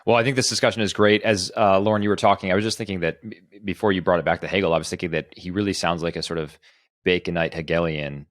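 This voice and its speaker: A man's voice: sits low, steady tone, fast talker, dry recording, studio quality. A man co-hosting a podcast discussion, speaking in an articulate, analytical way. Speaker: podcast co-host.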